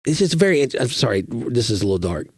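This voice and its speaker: A man's voice: mid-range voice, big pitch swings, fast talker, a little mellow, husky, dry recording, studio quality. A man who is a pastor, recovering from a recent illness, chatting casually on a podcast and telling stories with self-deprecating humor.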